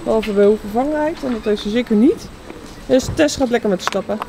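A woman's voice: fairly high pitch, fast talker. A middle-aged woman explaining things matter-of-factly to a close-held phone. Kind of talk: casual vlog chat. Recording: loud music behind.

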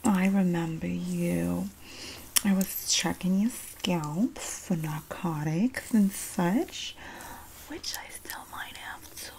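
A young woman acting a sassy, streetwise female inmate who comforts her bunkmate in a breathy whispered ASMR roleplay. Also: fairly low pitch, darkish tone, low-quality recording. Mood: teasing. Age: young adult. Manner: sassy, streetwise slang, drawn-out terms of endearment. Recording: close mic with clear background noise.

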